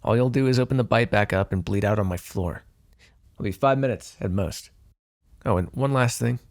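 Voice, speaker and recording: A man's voice: lowish voice, normal speed, a little mellow, no echo, studio quality, natural voice. A man playing a smug, teasing vampire in an intimate ASMR roleplay, sardonic and flirtatious, possessive but fond. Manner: sardonic, flirtatious, swearing, sighing.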